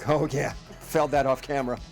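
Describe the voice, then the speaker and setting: A woman playing a tabletop game in casual chat, halting as she searches for words. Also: extremely low pitch, steady tone, soft background music.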